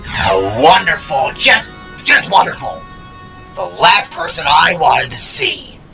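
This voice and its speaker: A man voicing a cocky, cowardly cyborg shark villain from an audio story, ranting in a strained, gravelly rasp. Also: high-pitched, wildly swooping pitch, slow, sharp tone, faint music behind, pitched above the performer's own voice, full character voice. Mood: sarcastic. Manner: ranting, exclamatory, theatrical. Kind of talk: dramatic story character acting.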